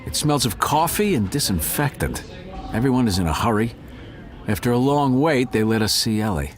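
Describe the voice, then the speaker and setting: A man's voice: medium pitch, expressive, moderate pace, lightly acted. A man playing a wry, world-weary male cat who narrates a film-noir detective audio drama in a theatrical way. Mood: matter-of-fact. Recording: studio drama over loud music bed.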